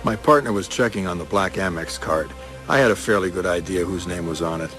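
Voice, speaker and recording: A man acting as a serious police detective in a crime drama, with a slightly low voice. He speaks in clipped, matter-of-fact phrases, with music behind him. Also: brisk, lightly acted. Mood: measured. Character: serious, terse male police detective, narrating his case. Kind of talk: TV crime drama dialogue and voice-over.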